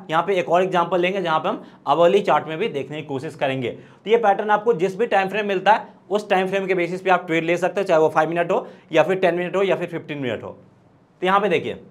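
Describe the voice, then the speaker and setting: A man in his thirties with an Indian accent, giving an explanatory trading lesson and answering his own questions as he goes. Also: sits high. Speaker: stock trading instructor. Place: indoor studio, presenting at a screen. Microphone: lapel.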